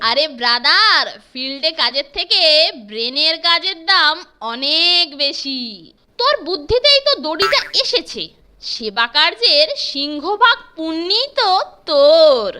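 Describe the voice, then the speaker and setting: A woman voicing a lively, teasing young boy in a cartoon. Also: sits high, measured pace, a little hiss or hum, heavily acted. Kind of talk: animated cartoon dubbing. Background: cartoon background music.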